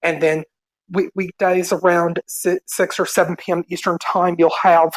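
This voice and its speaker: A man co-hosting a podcast, politely reading out show plugs in an informal, halting way. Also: sits high, level tone, no echo, rough recording. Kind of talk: informal announcement of show schedules.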